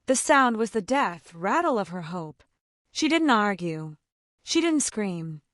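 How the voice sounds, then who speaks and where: A woman narrating a dramatic romance story in a scripted, expressive reading. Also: mid-range voice, slow, no room sound, studio quality.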